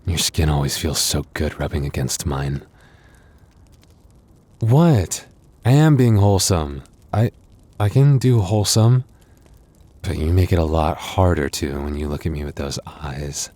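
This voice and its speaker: Man acting as young werewolf boyfriend, very low-pitched voice, tender, shy, eager to please, halting stammer, intimate ASMR roleplay. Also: lively intonation, darkish tone, dry recording, exaggerated voice. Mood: flustered, affectionate. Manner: halting, stammering, breathy laughs and sighs.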